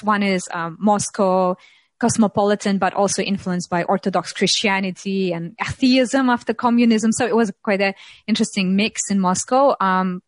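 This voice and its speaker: A woman speaks as an interview guest, her words measured and broken by frequent ums and short pauses. Her pitch sits in the ordinary middle range for a woman. The recording is dry, with almost no room sound. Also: dry recording. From Russia. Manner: thoughtful, many pauses and ums, light laughs. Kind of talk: reflective interview talk.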